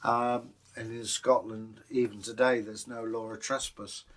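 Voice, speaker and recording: A man's voice: medium pitch, little pitch movement, measured pace, a bit bright. A British man co-hosting as a storyteller, telling folktales and chatting in a casual, musing way. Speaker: co-host and storyteller.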